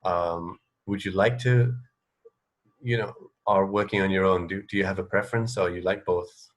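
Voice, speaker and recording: A man with a fairly low voice hosts an informal radio chat. He phrases things loosely and hesitantly, with a modest, self-effacing manner. The delivery is conversational throughout. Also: steady tone, moderate pace. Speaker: radio show host, interviewer. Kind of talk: casual, informal radio interviewing.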